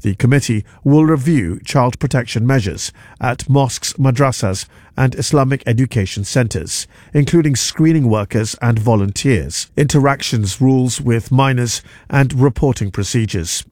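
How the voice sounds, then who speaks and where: A man reading a radio news bulletin in a measured, formal way. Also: mid-range voice, a little mellow, no echo. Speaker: newsreader. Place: radio studio.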